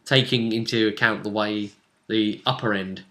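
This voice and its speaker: A man speaks as game master and host of a tabletop roleplay show. His voice is bright and forceful, and he recaps the session in a casual way. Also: fairly low pitch, steady tone, moderate pace.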